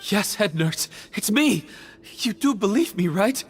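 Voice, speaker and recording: A woman playing a nervous, uncertain prison inmate in a video game, speaking hesitantly and haltingly. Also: medium pitch, expressive. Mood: anxious, confused. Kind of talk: video game character acting. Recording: music behind the voice.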